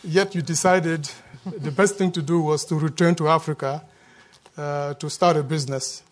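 A man's voice: on the high side, fairly even intonation, normal speed. A man moderating a panel, introducing the guests with many hesitant fillers.

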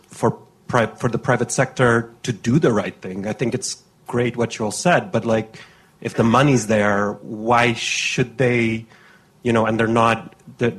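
A man's voice: mid-range voice, husky. A man from Romania, an audience member at a conference microphone, searching for his words and restarting phrases as he asks his question.

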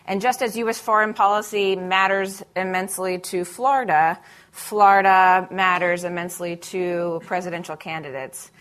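A woman speaking as a panelist in a discussion, measured and analytical, with frequent fillers and restarts. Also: on the low side, fairly even intonation, a bit bright.

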